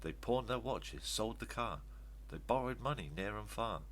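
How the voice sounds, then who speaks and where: An older man reads rhyming verse aloud as children's storytelling, in an expressive, emphatic way. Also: on the low side, normal speed. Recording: steady background noise with hum.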